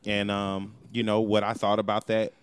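A man's voice: fairly low pitch, monotone, moderate pace, smooth, no room sound. A man hosting a podcast in casual chat, telling stories animatedly and swearing freely. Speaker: podcast host.

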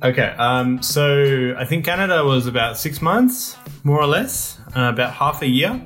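A young man telling stories in a casual interview, relaxed and rambling, with lots of ums and restarts. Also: mid-range voice, moderate pace, soft background music. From Australia. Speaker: guest.

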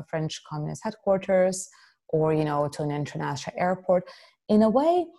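A woman's voice: fairly low pitch, creaky, darkish tone. A middle-aged woman from Slovenia, an artist and filmmaker, answering interview questions in a rambling way with many fillers and restarts.

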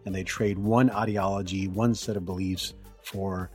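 A man hosting a podcast, talking alone in a hoarse voice as he gets over the flu, rambling and correcting himself as he goes. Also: deep voice, fairly even intonation, moderate pace, a little mellow, faint music behind. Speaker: podcast host. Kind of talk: solo podcast monologue.

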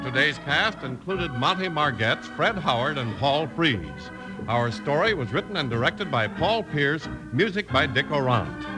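A man's voice: on the high side, very animated, unhurried, low-quality recording. A man announcing and selling in 1950s radio style, with a polished, emphatic delivery. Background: music.

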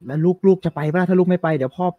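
A man's voice: high-pitched, very fast, phone-quality sound. A man playing a doting, nosy father king in an improvised comedy fairy tale, chatty and teasing. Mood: coaxing. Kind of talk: improvised comedy podcast storytelling.

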